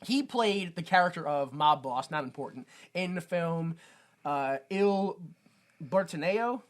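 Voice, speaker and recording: A man's voice: a little high, lively intonation, measured pace. A man co-hosting a comedy podcast in a sarcastic, casual way, swearing often. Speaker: podcast co-host.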